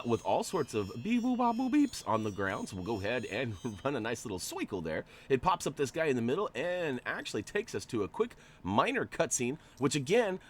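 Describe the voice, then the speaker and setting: Nasal, chatty man, lively voice. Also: medium pitch, a little hiss or hum. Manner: chatty, joking, rambling asides. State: stuffy from allergies. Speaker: gaming channel host. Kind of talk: casual gameplay commentary.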